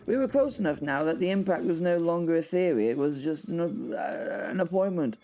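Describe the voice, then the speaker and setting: A man narrating a comic audio drama in the first person, halting, wry and theatrically exasperated. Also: fairly high pitch, animated, mellow.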